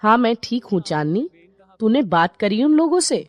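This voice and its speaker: A woman voicing a worried, homely mother in an animated moral story, speaking earnestly. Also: a little high, normal speed, dry recording. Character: worried, homely mother seeking a match for her daughter.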